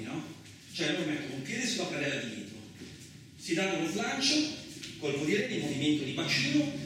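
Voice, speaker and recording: A very reverberant, noisy recording, picked up from a distance, carries a seasoned truck driver. He tells his tale like theatre, rough and talkative, rambling along with colloquial asides and rhetorical questions. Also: a little high, a bit bright. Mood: amused, exasperated. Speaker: actor performing a radio theatre monologue. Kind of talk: colloquial theatrical monologue, storytelling.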